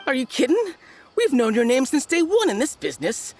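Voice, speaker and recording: A blunt street dealer whose pitch jumps lively up and down. She is curt at first in manner but easily rattled. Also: brisk, faint music behind. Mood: pleading. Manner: blunt, then jittery and pleading. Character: nervous street dealer of illicit goods, blunt.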